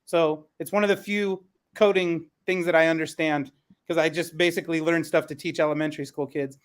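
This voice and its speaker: A man's voice: fairly high pitch, fairly even intonation, brisk. A man moderating a panel discussion in a conversational way, as its host. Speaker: panel host and elementary school teacher.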